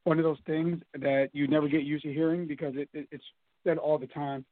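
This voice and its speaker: Raspy-voiced man on a phone line. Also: on the high side, flat delivery, brisk, dry recording. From United States. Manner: hesitant, many restarts. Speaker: actor guest. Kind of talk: interview answers.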